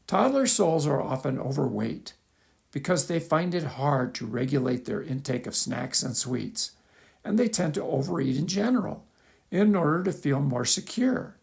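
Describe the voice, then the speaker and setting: A man with a gravelly, creaky voice reads his own article as a measured, explanatory lecture with occasional asides. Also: a little high, very animated, darkish tone. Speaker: author reading own article. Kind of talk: scripted lecture-style narration with asides.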